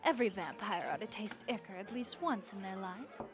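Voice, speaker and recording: A woman's voice: medium pitch, expressive, a little fast, over music, a crowd in the background, lightly acted. A woman playing a friendly, worldly vampire innkeeper in a comforting audio roleplay, chatty and encouraging with a wry, folksy way of talking. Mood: hospitable, playful.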